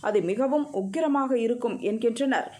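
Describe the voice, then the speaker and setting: A woman narrating a scripted news report in an even, formal read. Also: fairly high pitch, normal speed.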